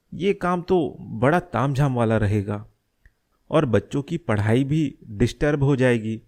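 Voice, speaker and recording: Slow-talking earnest father, acted by a man. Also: mid-range voice, darkish tone, close to an everyday voice. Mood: worried, brooding. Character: worried middle-aged father scheming to protect his family. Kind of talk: Hindi animated moral story dialogue.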